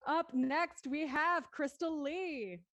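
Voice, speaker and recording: A woman's voice: sits high, slow pace, fairly bright tone, no room sound. A woman hosting a livestreamed cast interview, speaking articulately as she presents to an audience. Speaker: host.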